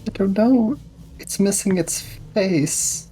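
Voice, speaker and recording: A man's voice: high-pitched, slow pace, mellow, low-quality recording. A man improvising casually in character as a player in a tabletop roleplaying game. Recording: music bed behind the voice.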